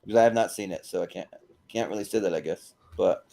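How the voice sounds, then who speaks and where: A man's voice: deep voice, fast talker, vocal fry, warm tone. A man with an agreeable, easygoing manner hosting a casual panel chat. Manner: agreeable, easygoing, often chuckling. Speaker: host of a panel show.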